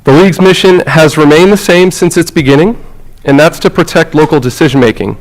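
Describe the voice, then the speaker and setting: A man with a self-described loud voice, giving formal remarks as a visiting league representative in a council chamber. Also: fairly high pitch, brisk, low-quality recording. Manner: loud, outgoing. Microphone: podium microphone.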